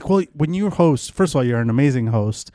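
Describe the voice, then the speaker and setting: A male comedian hosting his podcast, ribbing his guest with a dry, teasing manner. His voice is mid-pitched and ordinary for a man. It is recorded close with no room sound. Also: expressive, a little fast, mellow, no echo. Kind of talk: casual podcast banter.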